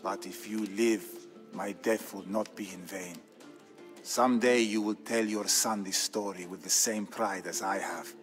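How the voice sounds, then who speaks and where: A man acting as a solemn Byzantine Greek storyteller, narrating his family's war tale for a game in a grave, measured, theatrical way. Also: lowish voice, steady tone, faint music behind, close to an everyday voice. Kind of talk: dramatic scripted narration.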